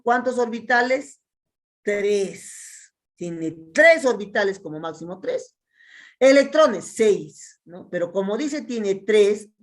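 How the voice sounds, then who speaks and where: A Peruvian woman teaching a chemistry class, working through exercises and asking her students questions that she often answers herself. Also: medium pitch. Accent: Peruvian Spanish. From Peru. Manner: asks students questions and answers them herself, counting step by step. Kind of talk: classroom lecture solving exercises.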